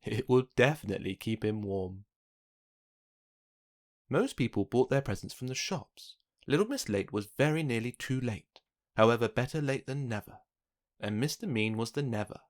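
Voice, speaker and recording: A man narrating a children's picture book, reading aloud with expressive, dramatic emphasis. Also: mid-range voice, moderate pace. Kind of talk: scripted storybook narration for children.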